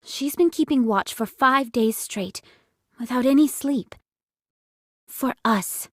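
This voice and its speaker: A young female android commander holds a squad together with firm, insistent urging. Her voice is on the high side, earnest and strained. The game drama recording is dry and close. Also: slow pace, fairly bright tone, no echo, full character voice. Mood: pleading.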